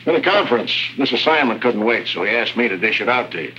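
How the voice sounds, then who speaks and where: A man's voice: medium pitch, expressive, fast talker, bright, forceful tone, slight background noise. A man acting an earnest intelligence office aide in a 1950s radio drama, giving a matter-of-fact briefing. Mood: brisk, friendly. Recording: dull, humming old radio recording.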